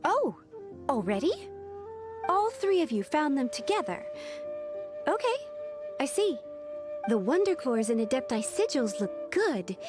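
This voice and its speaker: An actress playing a polite young secretary with a formal, courteous manner. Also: female voice, high-pitched, big pitch swings, a little slow, pauses often. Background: game music.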